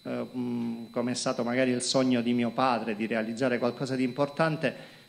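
Gravelly halting Italian man at a lectern. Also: mid-range voice, flat delivery. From Calabria, Italy. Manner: halting, many fillers and repeated words. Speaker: company executive presenting to students. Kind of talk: public talk at a lectern. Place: conference hall with projector screen. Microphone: handheld microphone.

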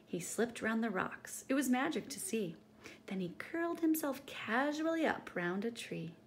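High, animated woman reading to children. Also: moderate pace, a little hiss or hum. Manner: expressive, addressing young children. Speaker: storytime reader, teacher. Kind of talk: children's picture book read-aloud.

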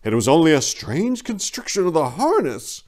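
A man's voice: fairly high pitch, big pitch swings, normal speed, darkish tone. A man in his forties reading a story in a theatrical, mock-grand, hammy manner. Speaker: dramatic reader, channel host. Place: small indoor room lined with bookshelves. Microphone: lapel mic.

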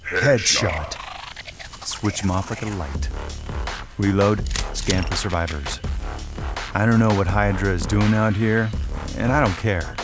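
A hard-boiled male sniper, played by an actor, narrates a motion comic in a low voice. He is terse, boastful and cold-blooded, talking like a cynical professional killer over loud music. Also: measured pace, pauses often, natural voice. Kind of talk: first-person scripted narration of a motion comic.

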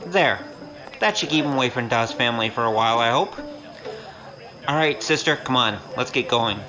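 A woman voicing a wry, quick-witted young male trickster in a kung fu audio drama. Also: very deep voice, over music, rough recording. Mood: pleased, sly. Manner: wry, casual, scheming.

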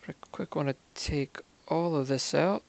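Gravelly man muttering over gameplay. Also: a little high, normal speed, a little mellow. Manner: muttering to himself, counting under breath, half-whispered asides. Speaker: gaming video creator. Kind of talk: casual gameplay commentary.

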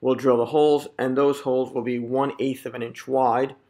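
A man in his thirties, hosting a home DIY video, explaining each step in a relaxed, casual way with a touch of self-deprecating humor. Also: mid-range voice, normal speed. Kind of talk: casual how-to narration. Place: home living room.

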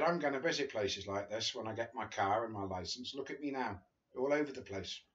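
Older guy reading a book out loud on his sofa, webcam sound a bit dull, chatty and chuckly. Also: mid-range voice. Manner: chatty, chuckling asides between read passages. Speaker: reader of a friend's memoir. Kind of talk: casual book reading to camera. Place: living room sofa, indoors.

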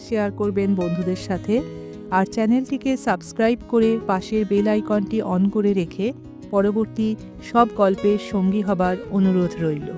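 A woman with a Bengali accent reading a story aloud in a slow, clear, expressive way. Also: mid-range voice. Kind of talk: scripted story narration.

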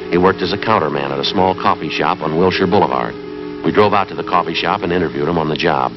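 A man's voice: sits low, natural voice. An actor in his thirties with an American accent playing a terse, stoic police detective, narrating in a clipped, matter-of-fact way. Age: 30s. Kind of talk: 1950s police drama narration. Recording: dull 1950s TV soundtrack, music underneath.

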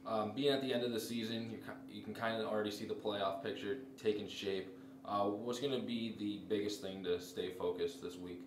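A man's voice: on the low side, steady tone, a little fast. A man interviewing athletes and a coach after a game, asking plain questions with fillers. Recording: roomy, distant, with background noise.

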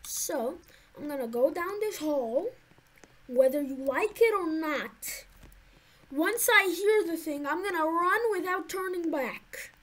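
A woman's voice: very high voice, expressive, slow pace. A woman giving nervous, jumpy commentary to her viewers while playing a horror game. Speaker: gaming YouTuber.

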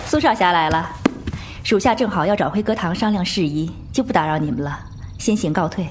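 A woman's voice: fairly low pitch, a little fast, a little hiss or hum, close to an everyday voice. A woman playing a composed, respectful subordinate of a martial-arts sect in a formal Chinese wuxia audio drama.

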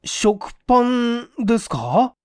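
A man's voice: very high voice, big pitch swings, slow pace, a little mellow, no echo, clean studio sound, pitched above the performer's own voice, full character voice. A man voicing a courteous, inquisitive apartment manager who is devoted and easily surprised, in a mystery audio drama. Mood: puzzled. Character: Okamoto, polite, puzzled, devoted male apartment manager.

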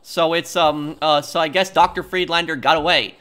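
A man giving casual gameplay commentary in a hesitant, halting way full of ums. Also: on the high side, fairly even intonation, pushed and bright, smooth. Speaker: gamer, video host.

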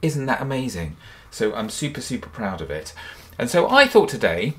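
A man's voice: medium pitch, very animated, moderate pace, a bit bright, slight background noise. A man in his fifties, a children's author and illustrator, cheerfully talking young children through a drawing step by step from his home studio. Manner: upbeat and encouraging, talks children through each step.

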